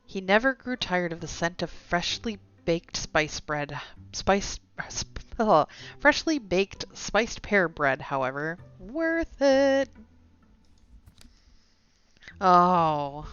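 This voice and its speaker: A woman's voice: on the low side, sing-song, slow, fairly bright tone, clear, resonant tone. A woman live-streaming a game, reading its story text aloud with some stumbles and chatting to viewers in asides. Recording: dull lo-fi sound with music behind. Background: game music.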